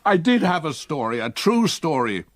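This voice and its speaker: An actor playing a grief-stricken old man haunted by his past, in a literary, measured gothic radio drama style. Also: fairly high pitch, very animated, dry recording, studio quality. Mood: weary relief. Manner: literary, measured, emotionally burdened.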